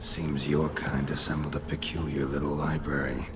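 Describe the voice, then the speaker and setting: A male actor playing a gruff, world-weary monster hunter in a video game, speaking in terse, dry, clipped lines with a gravelly tone. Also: extremely low pitch, level tone, normal speed, noisy background. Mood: grim, curious. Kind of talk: video game character acting. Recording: loud game music behind the voice. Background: game music.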